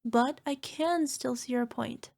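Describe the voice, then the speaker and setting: High-pitched, playful little cyclops girlfriend played by a woman. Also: creaky, darkish tone, heavily acted. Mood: evasive, sheepish. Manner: evasive, cutesy excuses, drawn-out wheedling. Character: playful, sheepish flying cyclops monster girlfriend, small and defensive. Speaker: voice actor. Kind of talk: ASMR girlfriend roleplay.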